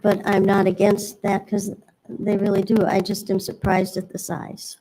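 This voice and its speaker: A woman's voice: mid-range voice, completely flat delivery, moderate pace, warm tone, low-quality recording. A woman making a public comment at a city meeting, polite and hesitant, rambling with fillers. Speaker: resident speaking at a public hearing.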